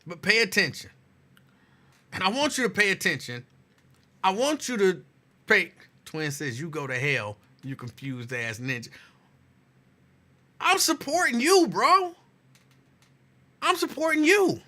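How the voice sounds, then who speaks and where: Guy hosting a talk show, bright voice a bit high, going hoarse, repeats himself. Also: expressive, a little slow, long pauses. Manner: chatty, repeats phrases, talks to listeners directly. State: losing his voice. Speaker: host. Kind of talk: casual talk show chat.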